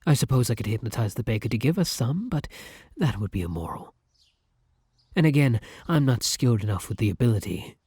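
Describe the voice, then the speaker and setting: A man's voice: medium pitch, very animated, fairly quick, a little mellow, husky, no room sound. A man playing a devoted, old-fashioned vampire in an ASMR roleplay, speaking formally and with flowery affection. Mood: polite, wistful. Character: courtly, devoted gentleman vampire, male, tender and old-fashioned. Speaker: roleplay voice actor. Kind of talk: ASMR roleplay acting addressed to the listener.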